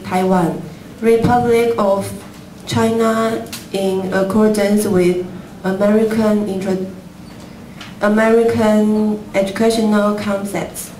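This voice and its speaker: A woman giving a prepared student presentation in a steady, recited manner. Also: mid-range voice, slight background noise. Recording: distant, echoey room.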